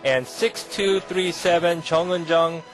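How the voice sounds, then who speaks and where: Male radio host, smooth polite announcer read, fairly high voice, soft music under. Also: slow pace. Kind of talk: evening radio show hosting.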